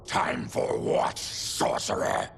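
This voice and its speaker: An actor playing a gruff, proud and fierce male mutant warrior with a gravelly voice in a video game cutscene. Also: slow pace, lightly acted. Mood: wary, disgusted. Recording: loud cinematic game score behind.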